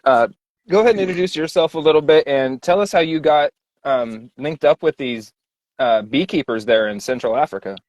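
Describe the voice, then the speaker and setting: A man hosting an online panel, easygoing and quick with short affirmations. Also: a little high, a little mellow, dry recording. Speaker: host.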